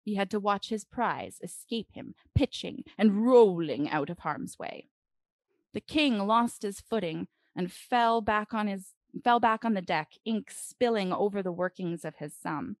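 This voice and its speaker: A woman reading a children's storybook aloud dramatically, with deliberate phrasing and expressive pauses. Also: mid-range voice, no room sound.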